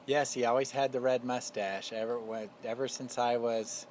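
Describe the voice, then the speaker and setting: A man in his fifties, from Texas, tells stories from his childhood in an easygoing, halting manner full of fillers. His voice sits at an ordinary pitch for a man. He is recorded outdoors in a backyard, with faint outdoor noise behind him. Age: 50s. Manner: relaxed, reminiscing, halting with fillers. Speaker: interviewee. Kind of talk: casual family interview storytelling.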